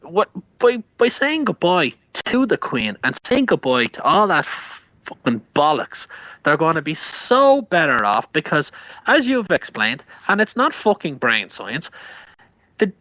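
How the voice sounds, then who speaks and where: A man's voice: a little high, wildly swooping pitch, a little slow, pushed and bright, dry recording, low-quality recording. A man with an Irish accent, ranting heatedly and full of swearing in a casual show chat. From Ireland. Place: Canada.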